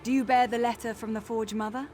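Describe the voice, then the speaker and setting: A woman performs an orc high priestess for a fantasy game. Her voice sits a little high, formal and stately yet welcoming and earnest, with clear music underneath. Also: fairly even intonation, quick pace, a little mellow, lightly acted. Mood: gracious, welcoming. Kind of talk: video game character acting.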